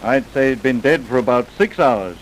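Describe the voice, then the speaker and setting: An actor playing a thoughtful laboratory worker who speaks in a measured, musing way in an old radio drama. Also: male voice, medium pitch, lively intonation, slight background noise, lightly acted, poor audio. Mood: puzzled, thoughtful.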